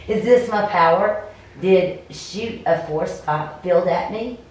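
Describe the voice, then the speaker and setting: A woman's voice: mid-range voice, takes it slowly. A woman doing a cold read of a story game aloud, stumbling over words and adding casual comments. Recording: very echoey room, distant mic, faint noise.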